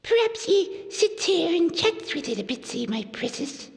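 A man's voice: very high voice, sing-song, moderate pace, vocal fry, big-room sound, voice pushed up, heavily acted. A man voicing a lonely, scheming cave creature who hisses and whispers, talks to himself and mangles his grammar in a storybook reading. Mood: sly riddling. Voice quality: hissing whisper.

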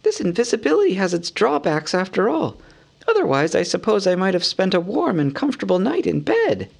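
A small fussy hobbit, dazed and aching after a blow to the head. The voice sits very high, thin and breathless, with a gravelly edge under it. It swings widely in pitch, as in a storybook reading. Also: male voice, huge pitch swings, a little fast, full character voice. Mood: weary, wry. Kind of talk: audiobook character voice.

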